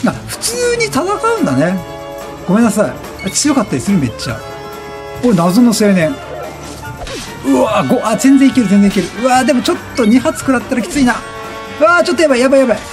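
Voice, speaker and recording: A man with a high-pitched voice gives a running game commentary. He is chatty and reactive, swinging widely in pitch and firing off repeated exclamations. Game music plays behind him. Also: very animated, quick pace. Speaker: gaming streamer. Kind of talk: excitable let's-play commentary, reading game text aloud. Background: video game music.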